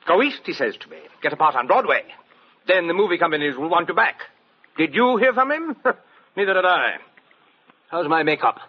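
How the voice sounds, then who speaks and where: An actor playing a vain, condescending middle-aged Broadway star in a 1940s radio drama, suave and mocking, on a muffled old recording. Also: male voice, on the high side, very animated, normal speed, long pauses, breathy edge. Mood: impatient, brusque.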